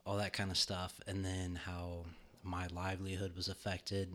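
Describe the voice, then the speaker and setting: A man with a very low, deep voice talks casually on a podcast from a home studio. He rambles with fillers and slips in jokes. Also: completely flat delivery, normal speed, fairly bright tone. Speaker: podcast co-host. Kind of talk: casual chat.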